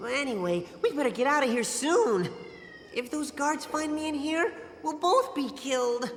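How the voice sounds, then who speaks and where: A woman's voice: high-pitched, lively intonation, normal speed, background noise. A woman voicing a tiny, cheeky, plucky elf sidekick in a video game, boastful and theatrical. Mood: grumbling. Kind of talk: animated video game voice acting. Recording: long reverb, music underneath. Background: game music.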